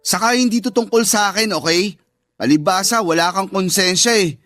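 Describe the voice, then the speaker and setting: Casting: scolding Filipino younger brother, high-pitched, emphatic, wounded sense of duty, radio drama. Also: male voice, moderate pace, fairly bright tone, no echo. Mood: indignant. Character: indignant, reproachful younger brother, dutiful young adult son.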